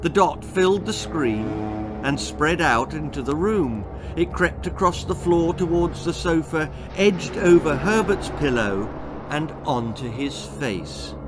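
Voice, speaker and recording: A man in his sixties with a British accent reading his own children's story aloud in a theatrical, deliberate, suspenseful manner. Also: on the high side, expressive, music in the background. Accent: British English. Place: small book-lined room indoors.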